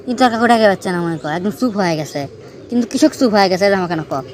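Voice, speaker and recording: A man's voice: sits high, lively intonation, rapid-fire. A man with a northern Bangladeshi accent playing a teasing young riddler in an amateur cartoon, explaining things to his friend. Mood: mocking, explaining. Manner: teasing, explanatory, keeps checking the listener follows. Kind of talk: amateur cartoon dialogue, storytelling.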